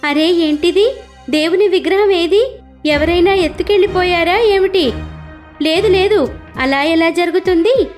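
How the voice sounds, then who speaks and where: A woman voicing a poor devout village woman who cries out high and pleading. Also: unhurried, soft background music. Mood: alarmed.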